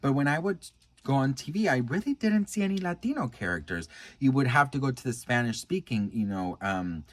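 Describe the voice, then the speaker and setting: An American man talks to his viewers like a vlogger with celebrity news to share. His manner is gushing and earnest, casual and familiar. His voice sits at an average pitch, and the sound is dry with hardly any room in it. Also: expressive, fairly quick, no echo. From United States. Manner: gushing, earnest, addresses viewer as best friend.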